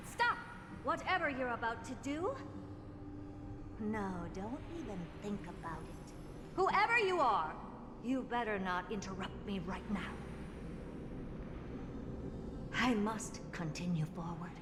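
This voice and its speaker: A woman's voice: medium pitch, big pitch swings, measured pace. An actress playing a haughty, eccentric woman scientist in a video game, theatrical and imperious, muttering to herself. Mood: urgent. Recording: roomy echoing hall with noise.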